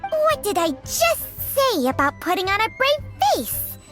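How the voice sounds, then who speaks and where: Tiny, high-pitched bossy snake. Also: female voice, sing-song, unhurried, music in the background, exaggerated voice. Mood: scolding. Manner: scolding, haughty, theatrical. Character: small female talking snake, sharp-tongued, bossy, scolding companion. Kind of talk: scripted video game voice acting.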